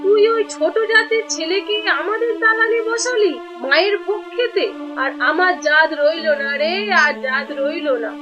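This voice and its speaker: A woman's voice: very high voice, moderate pace. A woman playing a landlord's proud, dramatic wife in a melodramatic cartoon. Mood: outraged, scolding. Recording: muffled, loud music behind.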